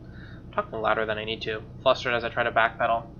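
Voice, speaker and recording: A man's voice: lowish voice, flat delivery, quick pace, a little hiss or hum. A man reading a game's narration aloud in a casual playthrough style, with informal, joking asides. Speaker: streamer playing and reading a game. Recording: slightly dull mic, music behind. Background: acoustic guitar game music.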